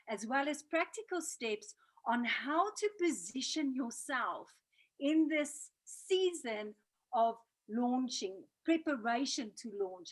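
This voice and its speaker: High-voiced South African woman presenting earnestly. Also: poor audio. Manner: earnest, motivational. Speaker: training host. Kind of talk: online business training presentation. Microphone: video call. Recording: video call.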